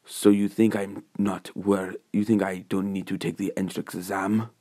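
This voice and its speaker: A man's voice: sits low, flat delivery, normal speed, warm tone, dry recording, clean studio sound. A man voicing a proud, honor-bound young male warrior in a story, solemn, earnest and subdued. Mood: subdued, questioning.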